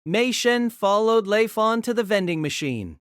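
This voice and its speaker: A narrator telling a fan audio drama story in an expressive storytelling manner. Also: mid-range voice, a little slow, clear, resonant tone, no echo, studio quality.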